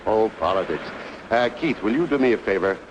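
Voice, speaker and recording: A genial gentleman host acted by a man speaks politely in a low, lively voice. The performance is old radio drama, on a dull and noisy recording. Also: fairly quick, natural voice. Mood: courteous. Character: genial, courteous, trusting wealthy male host.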